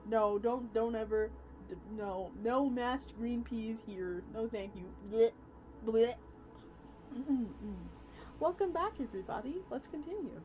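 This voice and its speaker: A woman VTuber chatting and reacting on a livestream in an excitable way, prone to blurting exclamations and swearing. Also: fairly high pitch, takes it slowly. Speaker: VTuber streamer. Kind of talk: livestream reaction chat and reading aloud. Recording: loud music behind the voice. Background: music.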